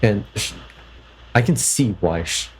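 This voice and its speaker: A man's voice: on the low side, expressive, measured pace, a little hiss or hum. A young man from Canada in a personal vlog, reflecting thoughtfully and hesitantly, often restarting his sentences. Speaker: vlogger.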